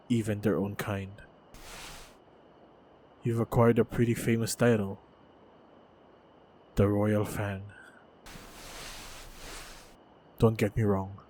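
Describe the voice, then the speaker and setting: A man playing a wounded, stoic monster hunter in a fantasy audio roleplay, speaking in a measured, halting, guarded way. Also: on the low side, warm tone, slight background noise. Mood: solemn, respectful. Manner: measured, halting, guarded delivery with heavy sighs. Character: wounded, battle-hardened lone male monster hunter, wary, stoic. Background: faint ambient sound effects.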